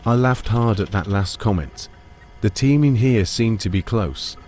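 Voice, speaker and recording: Lowish-voiced man narrating a dramatised audiobook. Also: measured pace, a little mellow, a little hiss or hum. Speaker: narrator and lead character.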